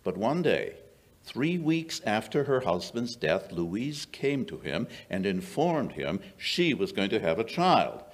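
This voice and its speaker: Male actor as gentleman sleuth for radio play: low register, lively swoops, shrewd, dry, measured storyteller. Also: full character voice. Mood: wry storytelling. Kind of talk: old-time radio drama acting. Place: small indoor stage before an audience. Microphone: vintage-style stand microphone.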